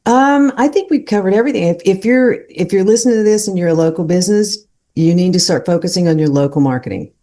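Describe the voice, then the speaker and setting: A woman from North Carolina with a light North Carolina accent, a business founder speaking as a guest in an unhurried, relaxed way, with frequent fillers. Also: lowish voice. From North Carolina, USA. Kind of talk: conversational interview.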